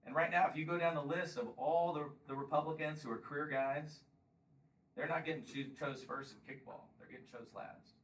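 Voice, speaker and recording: A man's voice: a little high, steady tone, brisk, a bit bright, recorded from a distance, poor audio. A man in his fifties giving a combative campaign speech and taking questions at a local meeting, often pressing "Okay?". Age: 50s. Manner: combative, emphatic, repeats "Okay?". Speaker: congressional candidate.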